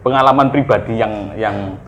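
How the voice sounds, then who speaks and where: A man hosting a casual podcast interview, speaking with many fillers and giving soft hums of agreement. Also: lowish voice, moderate pace, highs cut off, slight background noise. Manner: frequent fillers, quiet hmm backchannels. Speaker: podcast host, editor.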